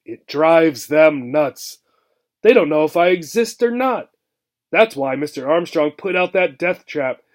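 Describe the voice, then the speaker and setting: A man voicing a gruff, bitter old mouse in a storybook, scornful and bent on revenge. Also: fairly high pitch, animated, a little slow. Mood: gleefully vengeful. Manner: scornful, emphatic.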